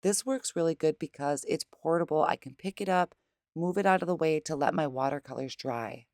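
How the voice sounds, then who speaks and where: Low, hoarse-voiced woman for a craft tutorial voice-over; dry close sound, patient and plain. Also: a little fast, a little mellow, no echo. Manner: explains steps patiently and plainly. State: hoarse, losing her voice. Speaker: craft demonstrator.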